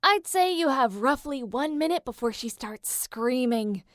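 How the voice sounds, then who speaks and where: A woman's voice: sits high, expressive, measured pace, a bit bright, no echo. Woman acting a cheeky, brash teenage boy, close-up dry sound. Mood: urgent, cocky. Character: Leo, cheeky, teasing teenage boy demigod. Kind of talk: dramatic reading of a fan story.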